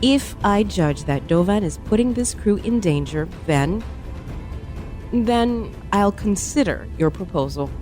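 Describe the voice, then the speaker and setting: Female actor as strict starship officer, dark mellow voice, animated pitch, curt, dutiful, sci-fi audio drama. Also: unhurried, faint music behind, lightly acted. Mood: stern, dismissive. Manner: clipped, formal, curt. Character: stern, rule-bound female commander, coldly dutiful and distrustful. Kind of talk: science fiction audio drama acting.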